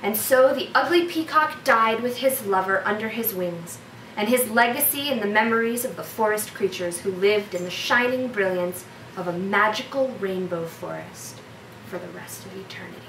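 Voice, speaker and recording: A woman's voice: medium pitch, normal speed, a bit bright. A woman vlogger telling stories in a casual, chatty and playful way, speaking straight to her viewers. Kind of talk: casual storytelling to camera. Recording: roomy echo, distant mic, background noise.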